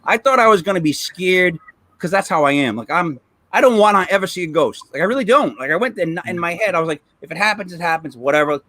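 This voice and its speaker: Guy with a kinda high voice, rambling chatty stories, dry close sound. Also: animated, fast, pushed and bright, no echo. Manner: chatty, loose storytelling with lots of fillers. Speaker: podcast co-host. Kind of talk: casual chat.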